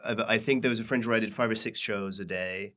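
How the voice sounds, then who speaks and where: A man's voice: lowish voice, quick pace, a bit bright. A young man from Belgium with a cold, chatting casually and playfully as a comedian guest on a podcast. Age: young adult. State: has a cold. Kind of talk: casual chat.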